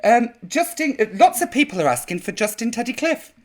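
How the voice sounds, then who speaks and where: A man hosting a live chat show in a camp drag persona, sounding a bit croaky. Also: very high-pitched, sing-song, a little fast. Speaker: drag queen host. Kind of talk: live-streamed chat show, reading viewer comments.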